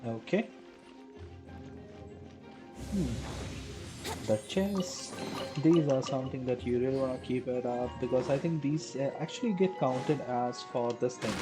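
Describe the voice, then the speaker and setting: A man giving casual live gameplay commentary as a streamer, muttering short reactions and questions to himself. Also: medium pitch. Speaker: game streamer. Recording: game music behind. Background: game music.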